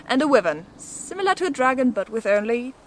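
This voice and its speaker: A woman with a fairly high voice presents a scripted explainer. Her delivery is playful and a little theatrical, with casual asides to the viewer. There is noticeable noise in the background of the recording. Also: big pitch swings, brisk, low-quality recording. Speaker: video host presenting an educational explainer.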